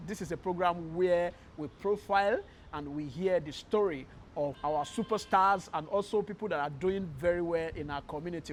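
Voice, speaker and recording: A man hosting an interview, introducing the show and asking questions. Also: a little high. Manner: frequent soft backchannel murmurs. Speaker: host, interviewer.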